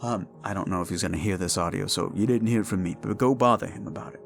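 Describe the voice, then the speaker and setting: A man's voice: deep voice, fast, vocal fry, faint music behind. A man in his thirties chatting informally to his listeners as the host of an audio drama channel, in a rambling, unscripted way. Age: 30s. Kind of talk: casual rambling outro to listeners.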